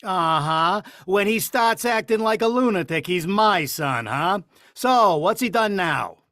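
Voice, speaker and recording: A woman's voice: mid-range voice, animated, a little slow, a bit bright, no echo. A woman playing a bumbling, well-meaning middle-aged father in a 1950s-style radio sitcom, talking in a folksy, tongue-tied way. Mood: bemused. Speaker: radio play actor.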